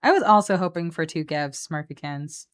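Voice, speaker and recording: A woman chatting casually on a live game stream, playful and animated as she thinks out loud. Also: sits low, normal speed. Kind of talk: casual live-stream chat while building a card deck.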